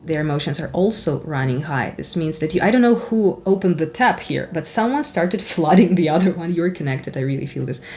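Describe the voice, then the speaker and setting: Ukrainian accent lady doing a tarot reading, kinda low voice, rambly and giggly, russian is her first language. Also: a little fast, hardly any pauses. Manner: animated and giggly, rambling, candid. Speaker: tarot reader. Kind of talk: chatty spiritual card reading.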